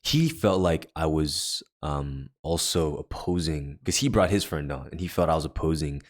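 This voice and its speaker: A young man with a deep voice, a podcast co-host telling a story in casual chat with many fillers and restarts. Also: lively intonation, normal speed. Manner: rambling, filler-heavy storytelling.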